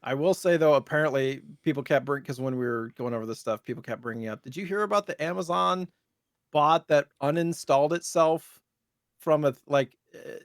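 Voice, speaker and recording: A man's voice: on the high side, a little fast, dry recording, studio quality. A man co-hosting a podcast in casual chat, telling rambling, joking stories. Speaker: podcast co-host and streamer.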